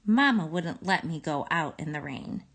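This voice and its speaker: A woman's voice: deep voice, animated, normal speed, a bit bright, full character voice. A woman voicing a protective, firm mother who cautions her child in a children's story. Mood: firm, cautioning.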